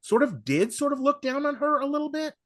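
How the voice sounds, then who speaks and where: A man's voice: extremely high pitch, big pitch swings, brisk, a bit bright, no echo, clean studio sound. A man speaking as a podcast panelist in casual analytical discussion, emphatic, often interrupting and repeating himself.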